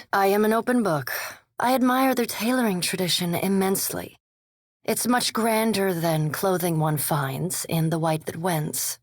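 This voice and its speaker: Actress doing a vampire lady scholar for a video game, mid pitch, cool n polite, very formal and measured, dry studio sound. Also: dry recording, lightly acted. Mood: dry, composed. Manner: measured, formal, precise, reserved. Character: coolly polite, scholarly vampire animancer woman. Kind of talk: video game character dialogue.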